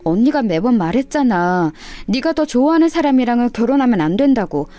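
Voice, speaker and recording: A woman's voice: fairly high pitch, very animated, brisk, warm tone, faint music behind, pitched above the performer's own voice. A woman voicing a worried, exasperated older sister, scolding and firmly persuading in story dialogue.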